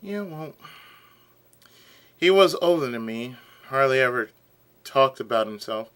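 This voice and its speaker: A hoarse man voicing a quiet young male ex-soldier swordsman in a subdued, low-key way, over game music. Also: expressive, a little slow. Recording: game music playing behind.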